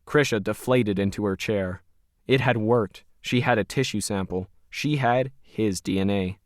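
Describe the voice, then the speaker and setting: A man's voice: on the low side, a little slow, dry recording, studio quality. A man narrating a scripted science fiction story.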